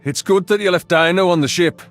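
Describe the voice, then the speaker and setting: A man's voice: sits high, brisk, a bit bright, faint music behind, pitched above the performer's own voice. A man voicing a blunt, embittered male marsupial alien in an audio story, with a grumbling way of talking. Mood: bitter, blunt.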